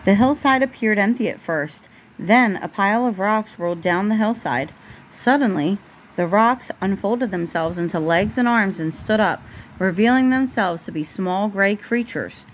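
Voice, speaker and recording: A woman's voice: mid-range voice, expressive, moderate pace, rough recording. A woman reading a children's storybook aloud at home, as a parent would at bedtime. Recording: faint background noise, home recording.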